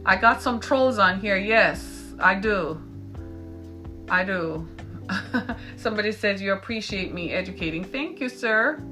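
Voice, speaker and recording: A woman's voice: mid-range voice, animated, measured pace, pauses often, music in the background. A woman with a British Caribbean accent hosting a podcast, speaking with the emphatic delivery of a public speaker and tagging her points with "right?". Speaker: podcast host. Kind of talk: live-stream commentary reading viewer comments.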